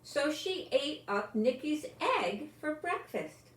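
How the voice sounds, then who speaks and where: Older woman storyteller, slow and animated. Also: high-pitched. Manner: expressive, lingering on words, talking as to small children. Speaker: storyteller reading a picture book aloud. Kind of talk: read-aloud children's story with chatty asides. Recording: roomy home recording with faint noise.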